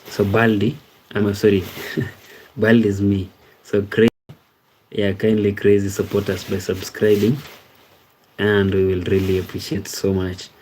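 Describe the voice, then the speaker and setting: A man's voice: on the low side, flat delivery, measured pace, pauses often, darkish tone, slight background noise, low-quality recording. A man from Kenya with a Kenyan accent, hosting a casual live stream, reading viewers' comments aloud and thanking them by name. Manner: easygoing, repeats words like "amazing, amazing". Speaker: livestream host.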